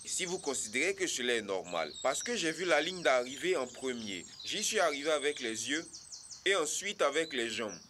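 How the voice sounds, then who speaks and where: A boastful male animal from a children's cartoon. He argues with self-important insistence in a forceful voice that rises and falls a lot. There is faint noise behind him. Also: mid-range voice, sing-song, moderate pace. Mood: indignant, boastful.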